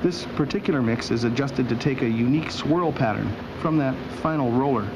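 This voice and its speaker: A man narrating a scripted documentary as host, with a wry, deadpan sense of humor. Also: medium pitch, fairly quick. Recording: dull old video soundtrack with machinery noise.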